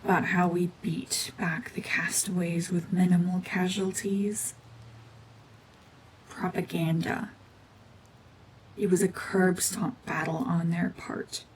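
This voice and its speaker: A cold, battle-worn witch, played by a woman, speaks in a low, breathy hush. Her delivery is brooding and deliberate, with very little rise or fall in tone. It is a dramatic ASMR roleplay, with a faint low rumble in the recording. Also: flat delivery, rough recording. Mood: bitter, brooding. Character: cold, mean, world-weary gorgon witch, war veteran cyborg.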